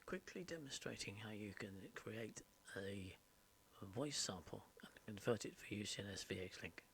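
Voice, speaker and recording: Halting, creaky-voiced man giving a tutorial. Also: on the low side. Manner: halting, step-by-step explaining in short phrases. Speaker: presenter of a software tutorial. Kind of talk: informal screen-recorded tutorial narration. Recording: steady background noise, mouse clicks. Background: faint noise and clicking.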